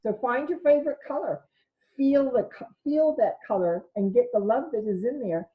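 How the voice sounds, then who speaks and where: A woman with a loud voice giving an informal spiritual talk to an online group and answering questions, often correcting herself as she goes. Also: fairly high pitch, normal speed, narrow, tinny audio. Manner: rambling, self-correcting delivery. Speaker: spiritual teacher hosting an online session. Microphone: video call.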